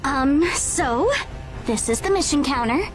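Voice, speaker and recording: A young female operator with a high, girlish voice. She is polite and earnest, giving courteous briefings in game cutscene style over prominent music. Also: lively intonation, measured pace. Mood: welcoming. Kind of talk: video game cutscene acting.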